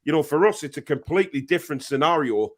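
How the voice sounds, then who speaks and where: A man's voice: fairly high pitch, expressive, quick pace. A man hosting a casual live-stream chat, talking with plenty of fillers and restarts. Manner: hesitant, many fillers and restarts. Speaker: podcast host.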